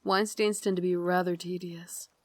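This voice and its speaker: A woman playing an elegant, caring and gently commanding Victorian vampire lady in an ASMR roleplay, speaking softly, formally and with doting refinement. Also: fairly low pitch, flat delivery, moderate pace, slight background noise, natural voice. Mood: gracious, refined. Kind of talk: ASMR roleplay, slow intimate scripted acting.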